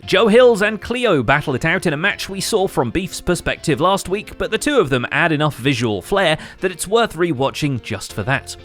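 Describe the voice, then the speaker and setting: Guy hosting a scripted gaming recap, wry, really animated pitch, bit of music under it. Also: normal speed, few pauses. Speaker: host and narrator of a gaming recap show. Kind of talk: scripted narration.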